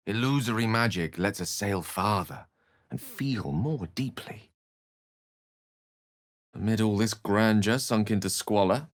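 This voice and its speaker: An erudite video game wizard with a lowish, very animated voice. He is courteous and wry, phrasing everything with theatrical eloquence. The recording is close and dry. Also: no echo. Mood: tender, courtly. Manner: eloquent, articulate, theatrical, wordy. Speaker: video game character voice actor.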